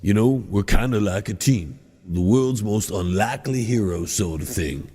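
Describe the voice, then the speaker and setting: An actor playing a crude, grumbling, reluctant hero in a cartoon, sarcastic and brash. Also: male voice, fairly low pitch, moderate pace, warm tone, slightly rough. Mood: blustering, stalling.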